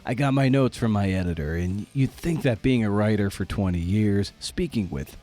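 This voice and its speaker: A man's voice: fairly low pitch, animated, normal speed, warm tone, faint music behind. A man hosting a podcast, talking informally in a candid, self-deprecating way. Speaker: podcast host.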